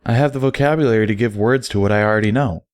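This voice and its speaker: A man's voice: lowish voice, fast, no room sound, pitched above the performer's own voice, lightly acted. A man voicing a confident, teasing, educated female pony who explains things patiently and wordily in a story reading. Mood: playfully confident.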